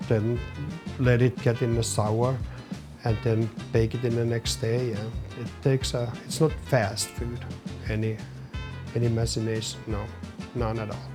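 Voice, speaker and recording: A middle-aged man from Finland with a Finnish accent, a chef answering interview questions in halting English, searching for words as he goes. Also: medium pitch, flat delivery, over music. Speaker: chef and business owner.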